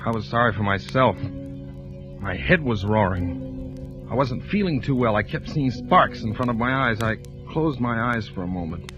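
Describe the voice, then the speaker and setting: An actor playing an anguished husband and father, narrating in a grave, deliberate 1940s radio style. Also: medium pitch, animated, lightly acted. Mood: somber narration. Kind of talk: 1940s radio acting, first-person narration. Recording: muffled old radio broadcast, music behind.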